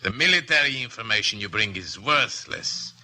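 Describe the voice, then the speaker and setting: A haughty villain general in an old radio play, with a very sharp, forceful voice at a middling pitch. He speaks in clipped, precise phrases, full of cold boredom and disdain. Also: expressive, moderate pace, low-quality recording. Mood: scornful. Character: supremely bored, cruel, haughty enemy general. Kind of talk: 1950 radio drama acting.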